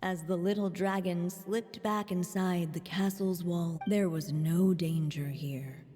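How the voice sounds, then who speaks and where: A woman playing a solemn storybook narrator in a video game, measured and grave. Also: on the low side, fairly even intonation, mellow. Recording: long reverb, music underneath.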